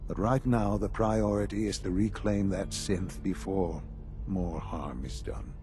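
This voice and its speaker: An actor playing a formal, authoritative male leader giving measured orders in a video game. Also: low-pitched, over music. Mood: grave, commanding. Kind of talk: video game dialogue acting.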